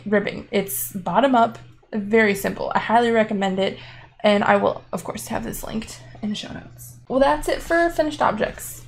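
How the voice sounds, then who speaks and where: A woman's voice: a little high, gravelly, a little hiss or hum. A woman in her twenties chatting casually as host of a knitting podcast, laughing often and filling gaps with ums, filmed from an armchair at home. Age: 20s. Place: living room armchair at home.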